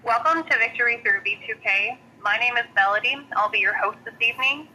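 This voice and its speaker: Very bright, brisk woman on phone. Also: fairly high pitch. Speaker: conference call host. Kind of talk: recorded scripted greeting. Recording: telephone line greeting with some noise.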